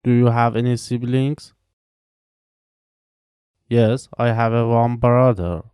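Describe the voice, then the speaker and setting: Careful, mellow man. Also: medium pitch, level tone, clean tone, dry recording, studio quality. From Iran. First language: Farsi. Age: 20s. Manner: slow, careful, evenly paced delivery with pauses between answers. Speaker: language teacher. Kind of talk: reading scripted practice answers.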